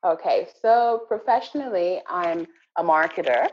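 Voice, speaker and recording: Low-voiced woman, reflective interview guest. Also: expressive, a little slow, muffled recording. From Malawi. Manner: reflective, with frequent fillers and restarts. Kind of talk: casual interview conversation.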